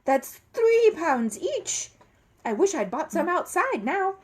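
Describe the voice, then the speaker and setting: A woman's voice: very high voice, big pitch swings, slow pace, pitched above the performer's own voice, full character voice. A woman voicing a polite, earnest young male bear in a children's storybook, with emphatic delivery. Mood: alarmed.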